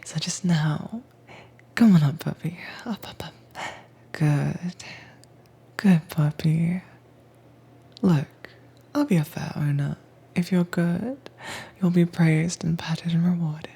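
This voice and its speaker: A woman playing a dominant, teasing mistress in an intimate roleplay audio, soft-spoken and coaxing but commanding, with a breathy, whispery voice. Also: lowish voice, slow, pauses often, heavily acted. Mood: calm, controlling. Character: dominant, teasing mistress training her werewolf pet.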